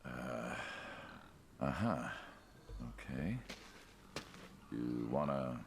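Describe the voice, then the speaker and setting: An actor playing an aging American mob man in a video game, folksy and casual but quietly menacing, probing an old friend. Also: very deep voice, drawn-out words, a little hiss or hum, full character voice. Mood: wary, pressing. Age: older. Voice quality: gravelly, husky. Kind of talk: naturalistic video game dialogue.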